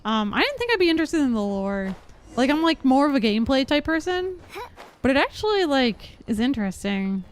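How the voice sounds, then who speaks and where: High-voiced young woman streaming, chatty. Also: fairly quick, slight background noise. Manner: chatty, meandering, expressive. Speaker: game streamer. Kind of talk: casual live-stream chat. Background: faint game music.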